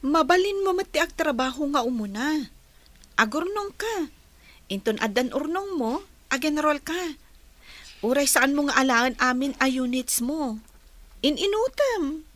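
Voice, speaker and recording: A woman's voice: high-pitched, lively intonation, unhurried, pauses often, fairly bright tone. A woman playing an eager, polite young student daughter in an audio drama. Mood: earnest. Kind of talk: dialogue in an amateur audio drama.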